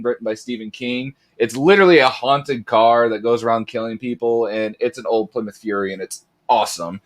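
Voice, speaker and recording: A man hosting a casual live talk show, chatty and rambling and joking at himself, his voice a bit raspy today. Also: medium pitch, a bit bright. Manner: chatty, rambling, self-deprecating. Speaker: podcast host. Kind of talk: casual chat.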